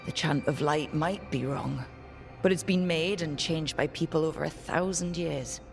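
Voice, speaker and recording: A woman playing a calm, supportive hero in a video game, speaking in a thoughtful, reassuring way. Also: deep voice, normal speed, vocal fry, faint music behind. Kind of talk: video game dialogue acting.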